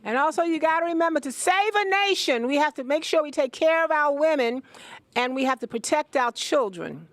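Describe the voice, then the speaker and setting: A woman's voice: high voice, animated, fairly quick, no room sound. A woman interviewing guests at an event as the host, repeating their answers aloud for the audience.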